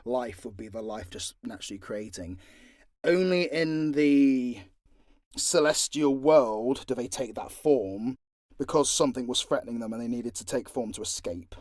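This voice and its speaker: A man's voice: medium pitch, moderate pace, a little mellow, no echo, studio quality. A British man answering fan questions in a casual, unscripted way, blunt and joking, with plenty of swearing. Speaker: voice actor and content creator answering fan questions.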